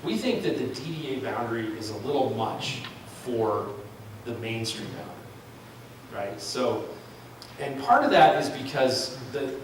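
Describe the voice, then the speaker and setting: A man's voice: medium pitch, sing-song, a little slow. A man presenting a market analysis at a public forum, speaking off the cuff with many ums and restarts. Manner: thinking aloud, frequent ums and restarts. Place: large room at a public forum. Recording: echoey hall, far from mic, noisy.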